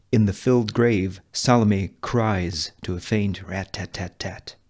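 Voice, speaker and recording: A man reading screenplay narration and scene headings in a measured, deliberate way, in short phrases. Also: on the low side, darkish tone. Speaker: table read narrator reading all parts.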